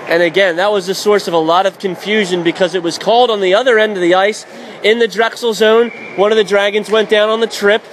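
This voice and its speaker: A man calling hockey play-by-play on radio, rising to shouts when a goal goes in. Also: sits high, fairly even intonation, a little hiss or hum. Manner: rapid, shouting up on goals.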